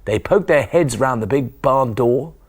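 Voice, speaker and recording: Man, forties, children's storyteller, slow pace, slightly breathy husky voice, dramatic emphasis. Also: mid-range voice, animated. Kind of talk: expressive children's bedtime story reading. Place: small TV studio kitchen set, indoors. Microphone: lapel mic.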